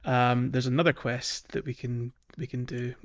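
A man's voice: mid-range voice, fairly even intonation, normal speed. A man co-hosting a podcast, chatting casually about a video game in a hesitant, conversational way. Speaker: podcast co-host. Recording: dull lo-fi audio, music behind. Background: music.

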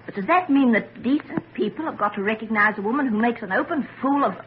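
An actress playing an indignant, protective middle-aged society matron in an old-time radio drama. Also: female voice, fairly high pitch, lively intonation, quick pace, lightly acted. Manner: emphatic, indignant, probing. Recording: dull, noisy vintage radio recording.

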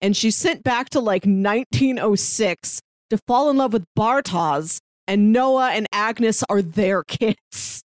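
A woman's voice: medium pitch, slow, few pauses, dry recording. A woman co-hosting a podcast, chatting casually about a TV series and acting out her reactions as she retells it. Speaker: podcast co-host. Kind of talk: casual chat discussing a TV series.

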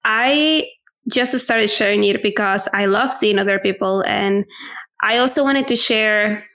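A woman's voice: mid-range voice, measured pace, fairly bright tone. A woman in her twenties from Venezuela, a native Spanish speaker, answering interview questions candidly with frequent fillers. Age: 20s. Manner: open, candid, with filler words like "like" and "um". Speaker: podcast guest.

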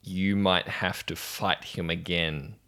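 A man narrating deliberately to a player as game master of a tabletop role-playing game. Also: sits low, fairly even intonation, sharp tone.

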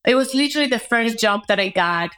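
A woman's voice: a little high, expressive, quick pace, bright, forceful tone, clear, resonant tone. A woman, a guest telling her career story in a reflective way and often asking "right?". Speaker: guest entrepreneur. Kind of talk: conversational interview storytelling.

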